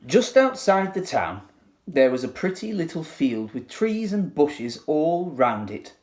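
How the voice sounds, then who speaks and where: Animated man reading a children's story. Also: on the high side. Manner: dramatic, deliberate phrasing. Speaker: teacher reading to pupils. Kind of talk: expressive children's storybook narration.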